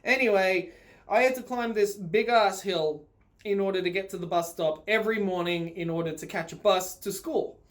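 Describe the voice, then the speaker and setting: Casting: Australian male vlogger, high voice, loose conversational storyteller with a sweary, rambling delivery, phone-recorded. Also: normal speed, a bit bright. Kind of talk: casual storytelling vlog.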